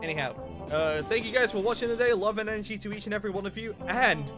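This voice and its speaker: A man's voice: sits high, quick pace. A young man streaming a game, chatting casually in a rambling way with lots of fillers and restarts. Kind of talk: casual livestream chat while gaming. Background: game music.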